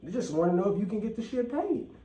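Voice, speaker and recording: A man's voice: sits high, big pitch swings, fast talker, warm tone. Blunt man, echoey and distant. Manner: blunt, profane, repeats phrases for emphasis. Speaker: channel host. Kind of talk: casual vlog rant. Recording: echoey room, mic at a distance.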